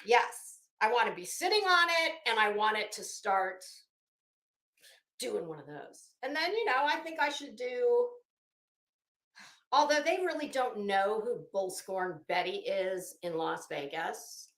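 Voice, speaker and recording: A woman hosting a livestream, rambling casually in an animated, theatrical, self-mocking way. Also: mid-range voice, measured pace. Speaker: livestream host. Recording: echoey, roomy sound.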